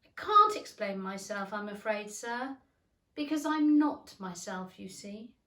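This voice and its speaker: A woman's voice: mid-range voice, big pitch swings, unhurried, pushed and bright, recorded from a distance. A woman voicing a young storybook girl who is polite, earnest and a little shy and flustered. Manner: earnest, polite, hesitant, lilting. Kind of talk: children's storybook character voice. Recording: roomy, echoing indoor sound.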